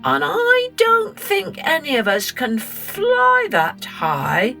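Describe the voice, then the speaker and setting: A woman voicing a small, worried young male duck who talks in a high, anxious, pleading way. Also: wildly swooping pitch, slow pace, gravelly, pushed and bright, faint music behind, exaggerated voice.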